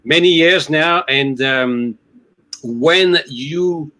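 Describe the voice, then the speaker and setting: Slow French-accented man on a video call. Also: on the high side, bright, forceful tone, low-quality recording. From France. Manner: slow, hesitant, many filler sounds. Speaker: winery's US representative. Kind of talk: virtual wine tasting chat.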